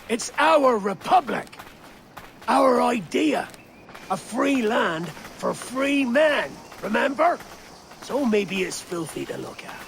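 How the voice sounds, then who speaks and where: An actor voicing a fiery, defiant pirate leader, scornful and impassioned, in a video game cutscene. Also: male voice, high voice, creaky, fairly bright tone, a little hiss or hum, full character voice.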